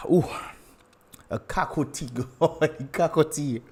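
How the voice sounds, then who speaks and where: A man's voice: on the high side, slow pace, a little mellow, breathy edge. A young man with a Dominican accent hosting a casual livestream, chatty and laughing often as he greets viewers. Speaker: livestream host.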